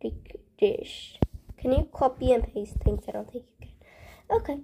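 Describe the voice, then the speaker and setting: A woman's voice: on the high side, normal speed, creaky, dark tone, a little hiss or hum. A woman hosting a gaming channel, casually thinking aloud over gameplay in a hesitant way, often trailing off into murmurs.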